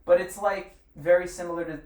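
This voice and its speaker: A higher-voiced man hosts a casual podcast chat, swearing freely and airing his opinions. He sounds set back from the mic in an echoey room. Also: fairly even intonation, a little fast, a little mellow. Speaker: podcast host. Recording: echoey room, distant mic, faint noise.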